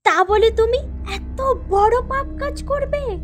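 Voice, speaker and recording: A woman voicing an outraged, righteous wife in a cartoon, shrill and scolding. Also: slow, soft background music. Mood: pleading. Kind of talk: Bengali cartoon dubbing.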